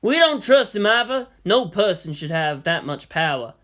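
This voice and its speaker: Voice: medium pitch, sing-song, a bit bright. A narrator doing a formal, weary general. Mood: measured, reasoning. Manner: measured, official, placating. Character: weary, formal male American army general, pleading firmly.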